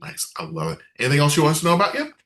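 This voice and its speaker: A man's voice: a little high, wildly swooping pitch, racing, a bit bright. A man hosting an online game night over a video call, chatty, upbeat and self-deprecating. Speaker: game show host.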